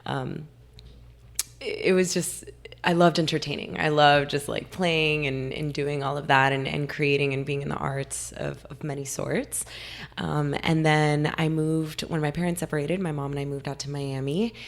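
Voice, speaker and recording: Low, gravelly young woman, a bit hoarse. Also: fairly even intonation, normal speed. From California. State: a little hoarse. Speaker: guest nutritionist. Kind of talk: casual interview talk.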